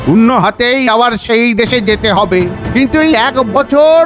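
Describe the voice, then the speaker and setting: A sober cartoon counsellor to a king, acted by a man, speaking very high over faint music. He is deferential and gives his advice formally. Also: animated, moderate pace. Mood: earnest. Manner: formal, advising. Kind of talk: cartoon voice acting.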